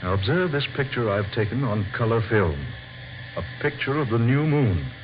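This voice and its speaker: An actor playing a grave, visionary scientist in an old-time radio drama, speaking formally and with theatrical deliberation. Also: male voice, medium pitch, very animated, moderate pace, close to an everyday voice. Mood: grave, secretive. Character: grave, visionary male scientist unveiling his secret discovery. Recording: dull, faintly noisy old radio recording.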